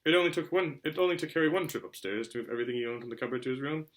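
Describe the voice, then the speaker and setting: Male story reader, quick pace, bright forceful tone, animated delivery with small stumbles. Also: medium pitch. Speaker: reader, show host. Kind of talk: amateur audiobook-style story narration.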